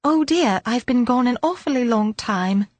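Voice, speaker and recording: A woman's voice: a little high, animated, normal speed, a little mellow, no room sound, voice pushed up. A woman reading a storybook voices a polite, eager schoolgirl who is curious and well-mannered.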